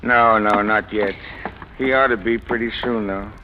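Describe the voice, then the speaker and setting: A man's voice: on the low side, fairly even intonation, normal speed, a little hiss or hum, close to an everyday voice. A man playing a chatty, cynical gangster henchman in a 1940s radio crime drama, slangy and knowing. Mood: offhand. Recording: dull old radio recording, loud music behind.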